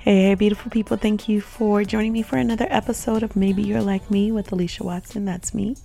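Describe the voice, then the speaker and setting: Hoarse woman hosting a faith podcast. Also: medium pitch, fairly even intonation, brisk, soft background music. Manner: warm upbeat and reflective, with frequent "um"s. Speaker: podcast host. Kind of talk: casual solo faith talk.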